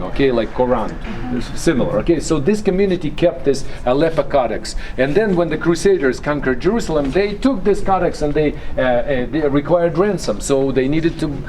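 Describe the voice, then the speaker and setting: A man guiding a museum tour, explaining at length in English that is not his first language, often pausing to search for words and checking in with "okay?". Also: mid-range voice, a little mellow. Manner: explanatory, peppered with "okay?" and "you know". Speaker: tour guide. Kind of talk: guided museum tour talk. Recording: noisy ambient background.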